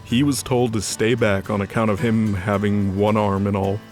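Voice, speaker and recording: A man's voice: fairly low pitch, flat delivery, normal speed, darkish tone, faint music behind. A man narrating a scripted story in a conversational, wry way.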